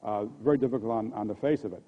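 An older man with an American accent gives a conference keynote at a podium microphone, speaking haltingly and often repeating words and restarting. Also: lowish voice. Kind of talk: conference presentation. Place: conference hall stage at a podium. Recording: dull, muffled lo-fi audio.

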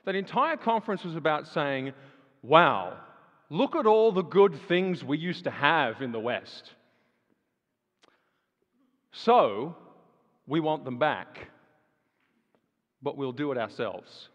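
Animated man preaching in a reverberant hall. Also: on the high side, slow, long pauses. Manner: animated, rising emphasis, conversational asides with fillers. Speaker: guest speaker. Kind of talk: sermon-style talk to a live audience. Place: large hall with audience. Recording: dull sound with long hall reverb.

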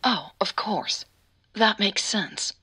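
A woman voicing a calm, patient mother who gently soothes and advises her daughter in an audio drama. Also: mid-range voice, takes it slowly, husky, voice pushed up, full character voice. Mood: advising.

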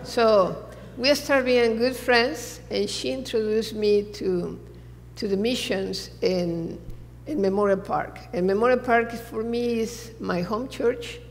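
Creaky-voiced woman in her sixties, echoing church. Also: on the high side, slow pace. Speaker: missionary guest. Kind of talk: personal testimony to a congregation. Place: large church sanctuary, seated on stage. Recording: reverberant church hall.

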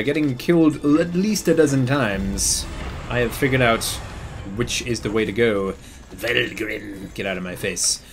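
A man's voice: medium pitch, a little hiss or hum. A man giving casual, slangy commentary as he plays a video game, joking and muttering to himself. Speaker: gamer, video game player. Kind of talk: casual let's play commentary. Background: video game sound.

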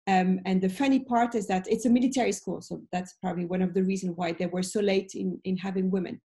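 Mellow-voiced French woman in her forties. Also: mid-range voice, fairly even intonation, fast. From France. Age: late 40s. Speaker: business professor, interviewee. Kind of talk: conversational interview answers. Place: indoor room at home. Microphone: video call, computer microphone.

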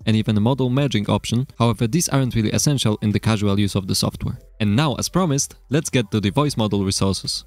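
A man's voice: medium pitch, dry recording. A man narrating a scripted tutorial in an explanatory, step-by-step way, recorded as a close-miked voice-over. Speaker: tutorial presenter. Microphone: close mic voice-over.

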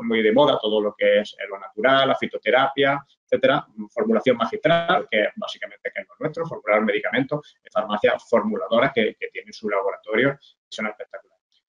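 A man's voice: fairly low pitch, quick pace, lo-fi sound. A middle-aged man from Spain giving an online talk to pharmacy students, explaining with many fillers and restarts. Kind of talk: informal online presentation over slides. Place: indoors at a desk. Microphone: webcam video call.

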